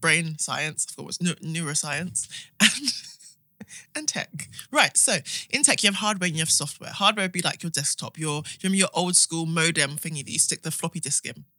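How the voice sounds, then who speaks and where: Bright-voiced woman chatting casually. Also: deep voice, lively intonation, brisk, dry recording. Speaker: podcast co-host. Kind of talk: casual chat.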